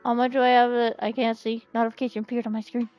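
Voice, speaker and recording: A woman chatting casually as she livestreams a game, with a playful, expressive way of talking that readily turns to pleading and exclaiming. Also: a little high, fast, lo-fi sound. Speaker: game streamer. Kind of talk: casual livestream chat over gameplay. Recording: music behind the voice. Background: music.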